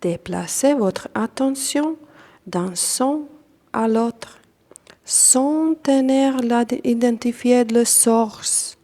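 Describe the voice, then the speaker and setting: A woman with a non-native accent in French leads a guided yoga nidra meditation as instructor, calm and deliberate, in short phrases with long pauses. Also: a little high.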